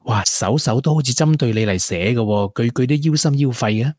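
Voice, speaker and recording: Actor as a husky, caring young Hong Kong man. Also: mid-range voice, expressive, fairly quick, a little mellow, dry recording, clean studio sound, close to an everyday voice. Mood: startled, puzzled. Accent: Hong Kong Cantonese. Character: supportive, down-to-earth young boyfriend, caring and sensible. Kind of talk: Cantonese radio drama acting.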